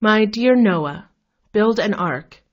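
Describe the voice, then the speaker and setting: A woman's voice: medium pitch, animated, takes it slowly, dull audio. A woman narrator voicing a solemn, loving God giving commands in a children's storybook. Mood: solemn, commanding.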